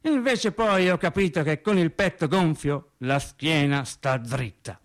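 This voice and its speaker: An actor playing a working-class man telling wry, naive childhood memories in a theatrical radio monologue, with dramatic pauses. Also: on the high side. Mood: wry reminiscing. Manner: animated storyteller, dramatic pauses and emphatic swings. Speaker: stage actor.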